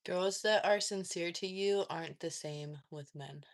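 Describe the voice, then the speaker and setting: Woman, stream host, somewhat low voice, casual chat, probing questions, laughs a lot. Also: measured pace. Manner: probing, asks follow-up questions; laughs often, casual filler words. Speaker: livestream host reading chat.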